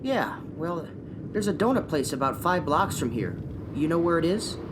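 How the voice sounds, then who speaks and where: A woman in a radio play acting a blunt, gruff security agent who is quick to scold a partner. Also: low-pitched, sing-song. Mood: dry, blunt. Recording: clearly noisy, vehicle sound behind.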